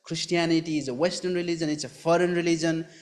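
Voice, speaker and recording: A man from Nepal with a Nepali accent, telling his personal story in a live interview in an earnest, halting way, repeating phrases. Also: fairly high pitch, fairly even intonation, a little mellow. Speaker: guest giving his testimony.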